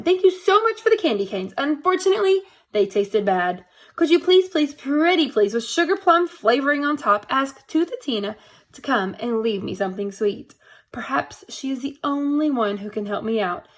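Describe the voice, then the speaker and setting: A woman's voice: sits high, lively intonation, moderate pace. A woman voicing an eager, dramatic little storybook girl with an exclaiming, emphatic delivery. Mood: pleading.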